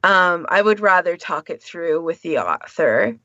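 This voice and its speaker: A woman's voice: lowish voice, lively intonation, unhurried, sharp tone, no echo, muffled recording. A woman co-hosting a podcast, talking casually and informally with firm opinions of her own. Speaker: podcast co-host.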